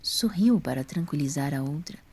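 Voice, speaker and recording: A woman's voice: low-pitched, animated, normal speed, dark tone, husky. A woman reading scripted story narration as the narrator of an audio drama.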